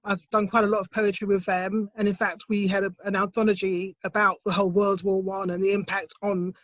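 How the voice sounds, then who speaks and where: A middle-aged woman poet answering interview questions thoughtfully, in a measured, unhurried way on a video call. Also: medium pitch, flat delivery, no echo. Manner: thoughtful, measured, with soft hesitations. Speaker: poet, competition winner. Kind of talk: online interview, reflective answers. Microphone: computer mic on a video call, set quiet.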